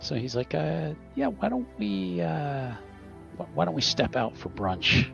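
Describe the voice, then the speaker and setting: A man playing a tabletop roleplaying game, improvising casually in a halting, stammering way. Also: a little high, very animated, creaky, a bit bright, lo-fi sound, faint music behind.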